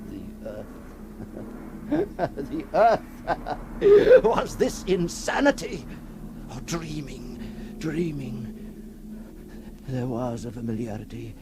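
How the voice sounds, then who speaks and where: A man playing a tormented, awestruck figure emerging from long confinement in a theatrical 1960s radio horror monologue, halting and breathless, repeating his words. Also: medium pitch, huge pitch swings, heavily acted. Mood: feverish elation. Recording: noisy old 1960s radio recording.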